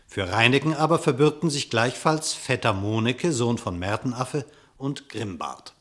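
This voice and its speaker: Measured male narrator of a verse fable. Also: mid-range voice, animated, fairly bright tone, a little hiss or hum. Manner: measured, storytelling.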